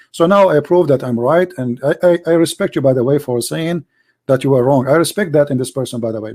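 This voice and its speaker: High-voiced man debating forcefully. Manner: forceful, argumentative, repeats words. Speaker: debate host. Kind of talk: heated debate.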